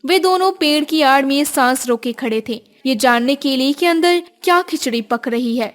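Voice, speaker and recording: A woman's voice: high-pitched, normal speed. A woman narrator reading a scripted moral tale for a cartoon in storybook style.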